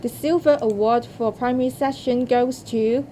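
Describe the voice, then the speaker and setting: A woman's voice: fairly high pitch, steady tone, normal speed, mellow, a little hiss or hum, low-quality recording. A woman presenting awards from a script as ceremony host.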